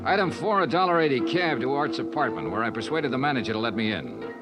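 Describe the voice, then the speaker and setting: Lively 1950s radio detective hero. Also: male voice, medium pitch, quick pace. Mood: brisk, matter-of-fact. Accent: American. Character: brisk, loyal male insurance investigator hero. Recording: 1950s radio drama, music behind.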